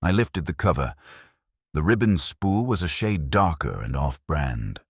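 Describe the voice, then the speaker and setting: A man's voice: extremely low pitch, expressive, unhurried, slightly rough, no echo, clean studio sound. A man narrating a detective audiobook in a wry, wisecracking first-person style.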